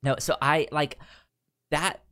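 A man's voice: a little high, flat delivery, slow, bright, forceful tone. A man in a casual film discussion as a podcast co-host, often stammering and repeating the first sounds of words.

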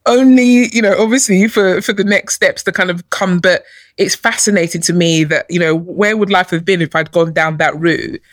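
A woman's voice: lowish voice, moderate pace, no room sound, studio quality. A woman from who was born in Nigeria, a chief executive telling her story as a guest on a podcast, laughing often. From born in Nigeria, raised in London. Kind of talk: casual podcast interview.

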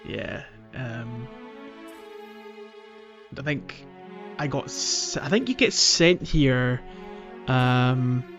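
A man's voice: mid-range voice, animated, very slow, long pauses, a bit bright, dull audio, faint music behind. A man co-hosting a video game podcast in casual, enthusiastic chat, often feeling his way through a thought. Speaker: podcast co-host.

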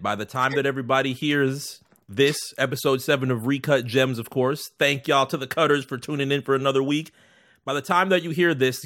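A man with a bright, forward voice at an ordinary male pitch, co-hosting a casual sports podcast. He talks emphatically, leaning into playful exaggeration and repeating phrases to drive them home. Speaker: sports and culture podcast co-host.

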